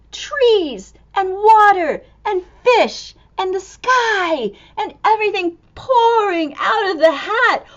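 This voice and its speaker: Slow, high-voiced woman reading to children. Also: dull audio. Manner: exclamatory, expressive, dramatic gasps and wonder. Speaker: storytime reader and teacher. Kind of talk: animated picture-book storytelling for young children.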